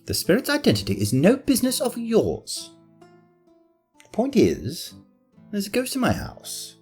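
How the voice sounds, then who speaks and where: A man's voice: high-pitched, huge pitch swings, unhurried, darkish tone, breathy edge, soft background music, full character voice. An actor playing a spoiled, arrogant nobleman who talks in a haughty, self-pitying way. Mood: petulant. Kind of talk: fan audio drama acting.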